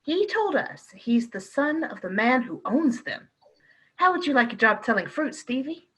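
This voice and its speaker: A woman's voice: high voice, sing-song, moderate pace, a bit bright, slightly rough, full character voice, rough recording. A woman playing a fond young wife in a radio play, speaking in a light, teasing, indulgent way. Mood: amused, fond. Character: fond, amused young wife looking after a boy.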